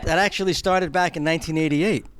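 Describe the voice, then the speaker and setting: A man's voice: a little high, fairly even intonation, quick pace, very noisy. A middle-aged man with a New York accent, answering as an interview guest in a hesitant, reflective, plain-spoken conversational way. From Long Island, New York, United States. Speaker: guest, film producer and charity house director.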